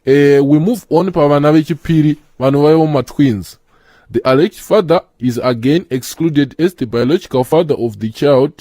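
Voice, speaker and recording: A man's voice: fairly high pitch, normal speed, mellow, no echo, studio quality, natural voice. A man with a Zimbabwean accent playing a polite, hesitant clinic official who mediates in a comedy cartoon. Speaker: presenter of test results. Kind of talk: comedy cartoon acting, formal interview manner.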